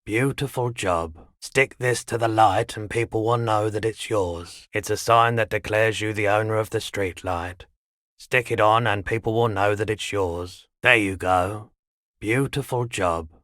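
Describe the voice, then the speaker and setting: An actor playing a laid-back, eccentric male pawnshop keeper in a video game, deadpan and unhurried, with drawn-out phrasing. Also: lowish voice, no echo, clean studio sound. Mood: matter-of-fact, unhurried. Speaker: shopkeeper character. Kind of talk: video game character dialogue.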